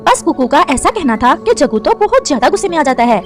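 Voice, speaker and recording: A woman's voice: high-pitched, sing-song, fast, faint music behind. A woman reading scripted narration for a cartoon story as its narrator.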